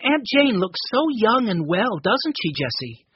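Man doing a soft-spoken young girl, high and husky. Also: big pitch swings, unhurried, dry recording, dull audio, full character voice. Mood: gentle. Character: gentle, quiet young girl.

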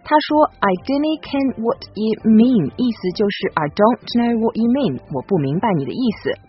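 A woman from China co-hosting a bilingual English lesson, explaining and translating in a brisk, lively way. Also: fairly high pitch. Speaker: co-host and translator. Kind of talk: bilingual educational radio presenting. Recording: muffled broadcast audio with faint music bed.

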